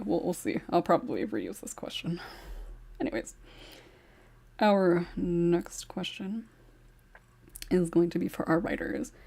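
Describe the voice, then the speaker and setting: Gravelly-voiced woman hosting a chat. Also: lowish voice, a little mellow. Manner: chatty, laughs between questions. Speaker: podcast host. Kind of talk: casual panel chat.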